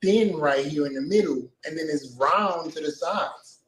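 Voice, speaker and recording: High voiced guy, super animated, blunt sweary slangy advice, echoey room. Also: a little slow, a little hiss or hum. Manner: blunt, profane.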